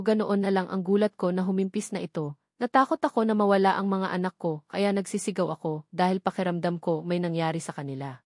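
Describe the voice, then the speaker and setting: A woman's voice: mid-range voice, a little mellow, dry recording, studio quality. A woman reading a scripted first-person story as an audiobook narrator.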